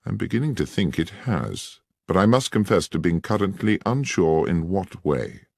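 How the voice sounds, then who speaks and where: A man reading aloud, voicing a courteous, probing gentleman detective. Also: sits low, sing-song, normal speed, creaky, dry recording, clean studio sound, heavily acted. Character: keen, incisive gentleman detective.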